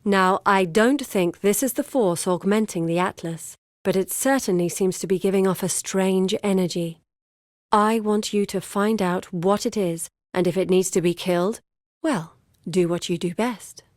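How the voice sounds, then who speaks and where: Actress as young female scholar, fantasy game dialogue, articulate, measured, earnest, mid-pitched, close dry recording. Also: dry recording, natural voice. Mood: businesslike, intent. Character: scholarly, driven young woman researcher and map-maker.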